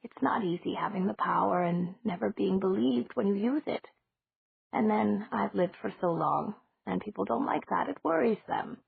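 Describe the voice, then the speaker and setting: Woman doing a gentle batty old witch. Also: medium pitch, expressive, creaky, breathy edge, dry recording, exaggerated voice. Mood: gentle, rambling. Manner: slow, gentle and rambling. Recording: dry, tinny phone-like sound.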